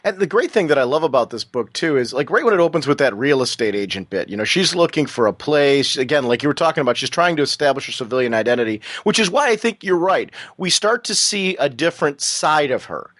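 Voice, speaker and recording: A man with a somewhat high voice, raspy from allergies, talks comics on a podcast in a loose, rambling way. His eager talk is full of fillers and side paths. The sound is dry and close, with no room around it. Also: lively intonation, quick pace, hardly any pauses, a bit bright, no room sound. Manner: rambling, enthusiastic, many fillers. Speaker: podcast co-host. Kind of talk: casual comic book chat.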